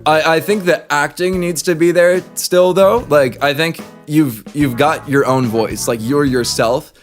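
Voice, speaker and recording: A man's voice: fairly high pitch, a little slow, faint music behind. A man hosting a casual critique of voice acting, conversational and encouraging but candid. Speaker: host reviewing voice acting submissions.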